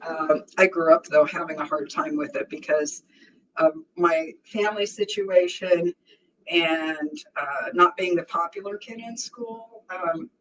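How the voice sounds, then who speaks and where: A woman's voice: on the low side, unhurried, fairly bright tone, slight background noise, rough recording. A woman in her sixties with a strong voice, hosting a casual livestream and chatting easily with her viewers, often laughing. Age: 60s. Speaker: livestream host.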